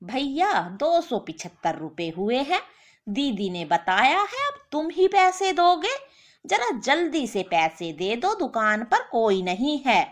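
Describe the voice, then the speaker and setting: A woman voicing a hurried, pressing laundry man in a story. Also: high voice, sing-song, heavily acted. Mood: impatient.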